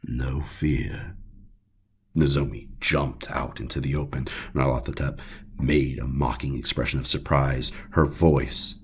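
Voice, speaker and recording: A man narrating a horror audiobook, reading scripted prose in a grave, dramatic and deliberate way. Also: rumbling low, fairly even intonation, breathy edge.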